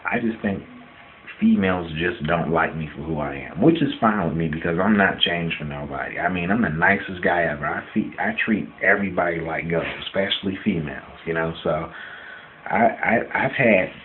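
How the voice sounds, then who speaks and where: A man's voice: low voice, normal speed. A man of twenty-nine in a casual personal video rant, speaking bluntly and defiantly and repeating himself for emphasis. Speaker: vlogger answering questions about himself. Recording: dull lo-fi sound with background noise.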